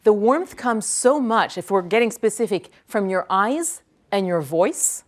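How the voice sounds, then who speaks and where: Brief: native French speaker, woman, lively lecturer in a hall, repeats each question before answering. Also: medium pitch. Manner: energetic, quick to praise, repeats each question aloud.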